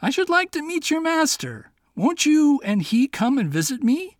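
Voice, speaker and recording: Man putting on a flustered pompous old king, squeaky high voice, wildly swooping, dry. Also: big pitch swings, normal speed, no room sound. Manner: blustering, pompous. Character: fussy, flustered old king, eager and vain.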